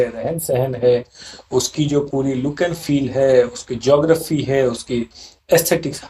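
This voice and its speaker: A man speaking as a railway official in an interview, explaining in a halting way and mixing English terms into his Hindi. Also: mid-range voice, a little mellow. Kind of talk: interview explanation of a development project. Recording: clearly noisy location recording with ambience.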